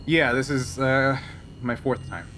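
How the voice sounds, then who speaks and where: A weary, guarded smuggler pilot , played by a man at a tabletop game, resigned yet defiant. He talks haltingly at a middle pitch with a bright edge, over faint noise. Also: natural voice. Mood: matter-of-fact, defiant. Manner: halting, measured, evasive.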